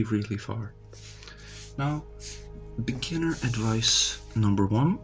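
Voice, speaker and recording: A man speaking English as a second language, calmly narrating his meditation in a slow, hesitant, soft-spoken way with many fillers, in a quiet room at night. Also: mid-range voice. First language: not English. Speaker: meditation vlogger. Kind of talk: calm narrated live meditation.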